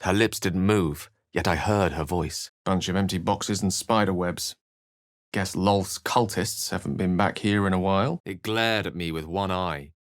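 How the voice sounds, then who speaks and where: A man's voice: sits low, steady tone, a little slow, no echo, clean studio sound. A man voicing a refined, witty wizard for a video game, speaking in an articulate, theatrical, wry way. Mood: wry, urbane. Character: eloquent, witty, refined human male wizard. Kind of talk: video game character voice lines and narration.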